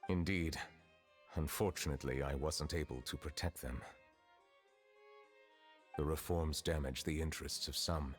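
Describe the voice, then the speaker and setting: Video game chief justice, centuries old: very low voice, solemn, earnest, formal delivery. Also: male voice, steady tone, measured pace, long pauses. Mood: solemn, restrained. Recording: game music behind.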